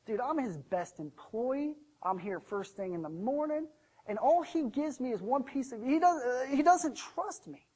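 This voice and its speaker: A man playing a bitter, indignant servant who rants loudly and repeats his grievances in a storytelling sermon. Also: extremely high pitch, very animated, a little fast, vocal fry, few pauses, husky, exaggerated voice, low-quality recording. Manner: loud ranting, aggrieved, repeats himself. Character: bitter, indignant male servant digging a hole.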